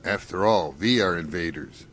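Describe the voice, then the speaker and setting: A man playing a wry, detached scientist in a science fiction radio drama, musing dryly and deliberately. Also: low voice, very noisy. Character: wry, detached, brooding male scientist doctor on a space crew.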